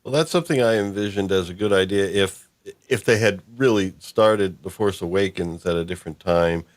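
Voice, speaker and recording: A low-voiced man hosts a casual discussion in an informal, rambling way. He feels his way through his thoughts, and the sound is dry and close, with little room on it. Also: normal speed, dry recording. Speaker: podcast host. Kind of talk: casual discussion of a fan story.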